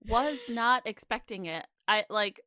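A woman co-host chats casually in a highish, bright voice. She is expressive and reactive, taking her time, and is recorded dry and close. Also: unhurried, no echo. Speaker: podcast co-host. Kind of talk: casual chat.

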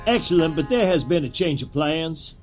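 An actor playing a proud, nervous ringleader of assassins, speaking in a theatrical, formal manner in a period drama. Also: male voice, on the high side, expressive, moderate pace, music in the background, natural voice. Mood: tense, directing. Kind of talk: historical audio drama acting.

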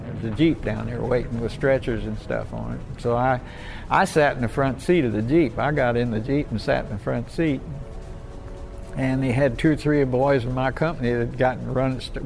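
A man playing an American war veteran, halting and plain-spoken, reminiscing conversationally in a radio drama. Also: mid-range voice, vocal fry, a little mellow, soft background music, natural voice, rough recording. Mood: somber recollection. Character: American WW2 veteran plainly recalling his war memories.

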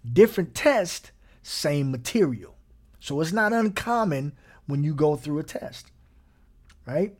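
A man preaching a sermon in a slightly higher voice. He keeps a relaxed, conversational tone and checks in with his listeners often. Now and then he chuckles or sinks almost to a whisper. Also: slow pace, pauses often. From Gary, Indiana.

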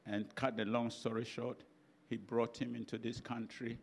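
A man's voice: mid-range voice, little pitch movement, normal speed, gravelly, slight background noise. Older Ghanaian man preaching. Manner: chatty anecdotal preaching with restarts and laughs. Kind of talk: sermon storytelling.

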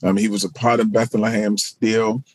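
A man's voice: lowish voice, level tone, moderate pace, a little mellow, dry recording. A middle-aged man from Baltimore, a pastor and social work professor, answering interview questions in a reflective way with fillers and restarts. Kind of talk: interview guest.

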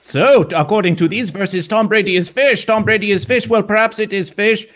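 A man's voice: high-pitched, fairly quick, a bit bright. A man playing an eccentric, celebratory mascot persona who talks in stilted, repetitive phrases and speaks of himself in the third person. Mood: excited, celebratory. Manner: stilted foreign-style phrasing, repeats phrases, exclaims yes. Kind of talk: in-character improvised interview.

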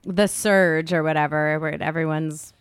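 A woman's voice: deep voice, fairly even intonation, unhurried, clean tone, no echo. A woman from California co-hosting a podcast in casual, comedic chat. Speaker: podcast co-host.

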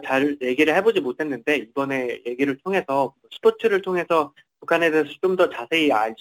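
A man's voice: fairly high pitch, rough recording. A man reporting in a semi-formal interview, somewhat hesitantly, often repeating and rephrasing his words.

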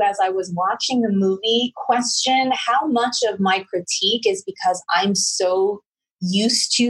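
A woman's voice: medium pitch, breathy edge, low-quality recording. A middle-aged woman interviewing a guest, speaking reflectively with frequent restarts. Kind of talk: conversational interview.

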